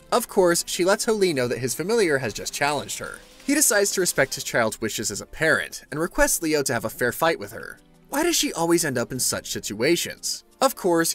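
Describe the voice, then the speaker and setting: Animated man narrating with a lively, swinging pitch. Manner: wry, playfully sarcastic asides. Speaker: recap narrator. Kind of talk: scripted comic recap narration.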